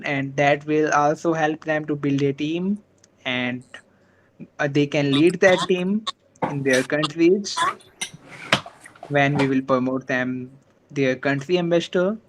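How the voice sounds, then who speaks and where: Casting note: man on a video call whose first language is Hindi, halting and deferential, slow delivery. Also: on the high side, slight background noise, rough recording. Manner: hesitant, many fillers, polite. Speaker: meeting organizer. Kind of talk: online meeting discussion.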